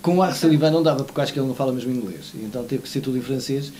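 A man in his forties with a European Portuguese accent, co-hosting a morning TV show and telling animated, self-mocking anecdotes in casual chat. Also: mid-range voice, very fast, slight background noise. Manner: animated storyteller, self-mocking, gestures while talking. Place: small TV studio, seated at desk.

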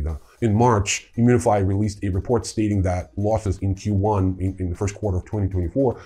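A middle-aged man with a low, mellow voice that has a husky grain. He presents a news rundown in a semi-scripted way, dropping in casual, wry comments as he goes. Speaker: host of a crypto news show. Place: indoor room with wooden staircase.

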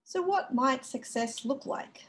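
A woman giving a conference keynote over a video call, explaining in a measured way with frequent fillers. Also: high-pitched, lively intonation. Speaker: keynote speaker. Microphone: video call webcam.

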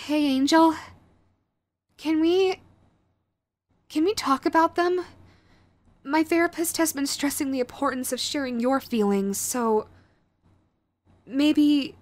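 A woman playing a young, sweet, clingy yandere girlfriend in an intimate audio roleplay, with a cutesy, hesitant manner. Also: high voice. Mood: sad, hesitant. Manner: cutesy, hesitant, gushing pet names. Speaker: voice actress.

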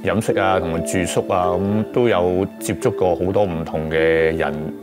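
A middle-aged man with a Hong Kong accent, speaking haltingly and reflectively in an interview, searching for his words. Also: low voice, steady tone, over loud music. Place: small book-lined room, indoors.

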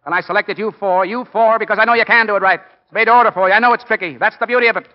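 A man acts out an earnest, driven football coach in a 1940s radio play. His fairly high voice is emphatic and folksy, on a muffled old broadcast. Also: racing, close to an everyday voice. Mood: eager, rousing. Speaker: actor in radio drama.

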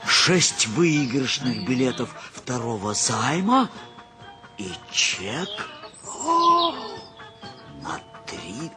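A man reading a classic short story as expressive scripted narration. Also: mid-range voice, extremely slow, pauses often. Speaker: audiobook narrator. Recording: loud music behind the voice.

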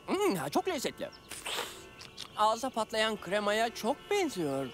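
A woman voicing an excitable, reluctant little cat sidekick in an animated children's series, fussy and expressive. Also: a little high, takes it slowly, full character voice. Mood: playful, admiring. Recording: music behind. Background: music.

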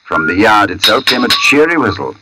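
An actor playing a brooding, polite gentleman narrator in a 1940s radio drama, measured, literary and intense. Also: fairly low pitch, sing-song, very noisy. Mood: haunted, brooding. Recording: old radio broadcast with music.

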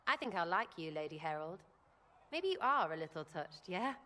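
Brash, lively English elf girl. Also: lowish voice, moderate pace. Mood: cheeky, playful. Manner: slangy, rambling, blunt, impish. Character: brash, cheeky young elf woman archer, irreverent rebel. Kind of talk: video game character acting.

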